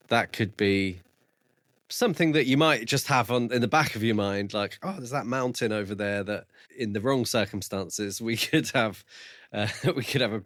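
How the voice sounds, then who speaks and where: A man co-hosting a casual book review podcast, speaking in a measured, agreeable, loosely phrased way. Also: fairly low pitch, a bit bright, no echo.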